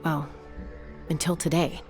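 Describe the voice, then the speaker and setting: An actress voicing an earnest young woman raised among saurians, speaking in a soft, measured, reflective way. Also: low voice, little pitch movement, faint music behind. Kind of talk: game voice acting.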